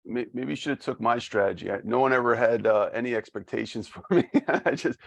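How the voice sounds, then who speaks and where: A man's voice: medium pitch, fairly quick, vocal fry. A man hosting a podcast interview, encouraging and supportive, often restarting his sentences and chuckling. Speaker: podcast host. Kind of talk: interview host.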